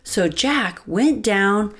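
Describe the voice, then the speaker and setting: A woman's voice: medium pitch, lively intonation, extremely slow. A woman reading a picture book aloud to young children as host, expressively, addressing them directly. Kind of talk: animated read-aloud narration for young children. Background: light background music.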